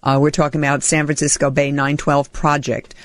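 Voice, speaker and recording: A woman hosting a talk radio show in a studio, brisk and animated, with sudden jumps in pitch. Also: dry recording. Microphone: studio microphone.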